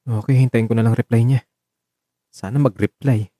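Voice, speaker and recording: A man's voice: medium pitch, steady tone, normal speed, background noise, lightly acted. A man playing a caring, nagging man who frets and gets exasperated in an audio drama. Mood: exasperated, anxious. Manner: exasperated, insistent, emotional. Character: Marco, caring young man, nagging and fretful.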